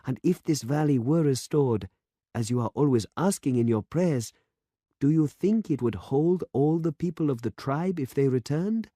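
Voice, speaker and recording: A man's voice: mid-range voice, lively intonation, normal speed, dark tone, no echo, clean studio sound, pitched above the performer's own voice, close to an everyday voice. A man reading aloud and voicing an earnest, impatient young male farming demonstrator in an urgent, insistent manner.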